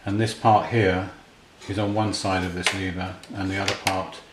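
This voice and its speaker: Middle-aged man, very low voice, understated casual repair commentary, roomy sound, clattering handling noise. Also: flat delivery, moderate pace. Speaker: repair hobbyist demonstrating a restoration. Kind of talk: casual hands-on tutorial commentary, thinking aloud while working. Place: indoors at a repair workbench.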